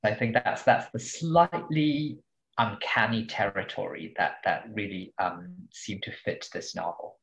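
A man, a translator guest on a podcast over a video call, answering in a measured, articulate way. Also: on the low side, creaky, fairly bright tone, poor audio. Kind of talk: thoughtful interview answer. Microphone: home mic on a video call.

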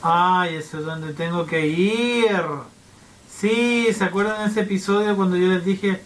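A man's voice: high-pitched, unhurried. A man hosting a gaming video with casual, playful, joking commentary. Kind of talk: casual let's-play commentary.

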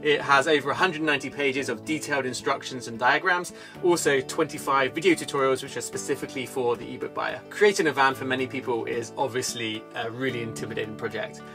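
A man in his thirties, a channel host delivering an outro pitch to camera. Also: fast talker. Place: small wood-panelled room. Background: guitar music.

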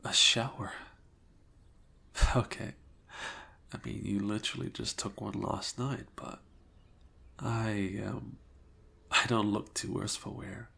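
A young man speaking softly and hesitantly in an improvised ASMR roleplay, often dropping to a whisper. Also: lowish voice, level tone, takes it slowly, creaky, pauses often, breathy edge.

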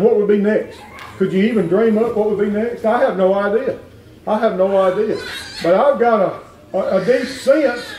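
A middle-aged man preaching a folksy revival sermon in a church, climbing into shouts. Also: high voice, far from the mic, slight background noise. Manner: folksy, plain-spoken, rises to shouting. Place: wood-paneled church sanctuary. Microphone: far room camera. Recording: echoey church heard from afar.

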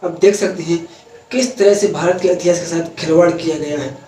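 A young man with a high, mellow voice talks through a news story to the camera. His delivery is earnest and steady, and he sometimes restarts a phrase. He sounds a bit far from the mic, in an echoey room with faint background noise. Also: fairly quick. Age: young adult. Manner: earnest, steady explanatory delivery with occasional self-corrections. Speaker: news presenter. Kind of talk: opinionated news explainer to camera. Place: indoor room, parliament backdrop added behind. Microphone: camera a few feet away, no mic in view.

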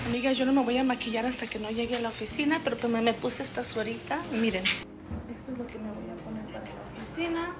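Chatty higher-voiced woman. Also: fast talker. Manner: chatty, addresses viewers as friends, switches between Spanish and English. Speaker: vlogger. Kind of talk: casual vlog chat to the camera. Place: home kitchen, then inside a car. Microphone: phone camera held at arm's length.

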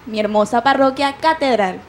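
A woman's voice: a little high, moderate pace, a little hiss or hum. A woman in her twenties with a Venezuelan Spanish accent, a pageant candidate giving a proud, rehearsed self-introduction into a handheld mic in a TV studio. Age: 20s.

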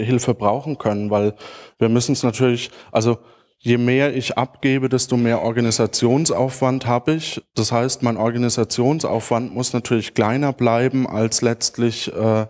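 Man with a head cold, halting. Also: medium pitch, darkish tone. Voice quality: congested from a cold. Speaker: podcast creator giving a conference talk. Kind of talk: informal talk and audience Q&A. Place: auditorium stage. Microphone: handheld microphone.

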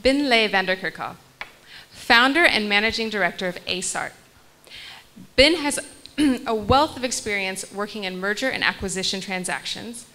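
A woman's voice: mid-range voice, pushed and bright. A young woman moderating a panel on a stage, reading guest introductions into a handheld mic and laughing off her small slips. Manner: reads bios, laughs lightly at her own slips. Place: large hall stage. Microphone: handheld microphone.

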